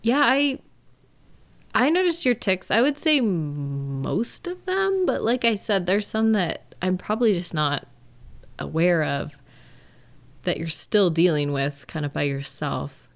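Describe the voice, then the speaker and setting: A woman playing a caring, reassuring live-in partner in an intimate audio roleplay, speaking gently and conversationally with thoughtful, hesitant pauses. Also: lowish voice, big pitch swings, creaky, full character voice. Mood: gentle, understanding. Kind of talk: intimate one-sided comfort roleplay, conversational acting.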